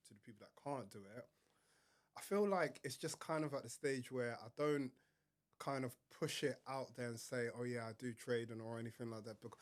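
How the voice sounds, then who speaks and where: A man, a bit croaky from being ill, explaining his work as a podcast guest in a measured way, with plenty of fillers. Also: mid-range voice. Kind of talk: casual podcast interview.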